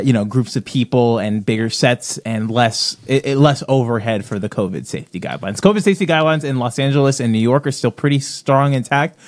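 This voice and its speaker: A man's voice: medium pitch, darkish tone. A man co-hosting a podcast, talking casually and conversationally about films. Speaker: podcast co-host. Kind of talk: casual movie discussion chat.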